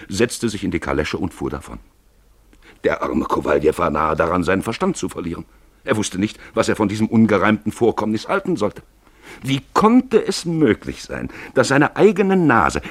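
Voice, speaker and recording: Deep, husky male radio narrator. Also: no room sound. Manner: theatrical, expressive storytelling with emphatic stretched words. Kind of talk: dramatic literary narration for radio play.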